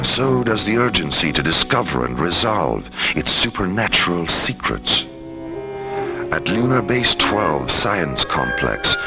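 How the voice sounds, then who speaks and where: A man narrating a science-fiction radio drama in a deliberate, portentous scripted style. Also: sits low, rough recording. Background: dramatic music.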